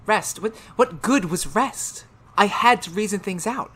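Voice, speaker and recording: A woman playing a tormented, haunted man in a 1930s-style radio horror drama, speaking melodramatically with halting, emphatic repetitions. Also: mid-range voice, a bit bright, breathy edge. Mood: anguished.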